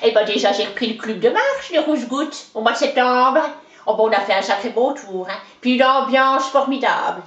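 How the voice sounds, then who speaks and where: Woman doing a folksy older countrywoman, Franc-Comtois accent, high and sing-song, roomy lo-fi sound. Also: lively intonation, normal speed, fairly bright tone. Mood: chatty. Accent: Franc-Comtois (Haut-Doubs). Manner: folksy, rambling, peppered with "hein" and "oh ben". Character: chatty boastful older countrywoman from the mountains. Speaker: interviewee. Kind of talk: comic character interview.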